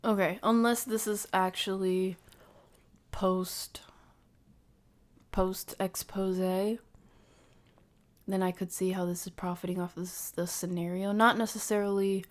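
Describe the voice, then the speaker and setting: A woman streamer giving casual reaction commentary, measured and hedging as she thinks aloud. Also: medium pitch, flat delivery.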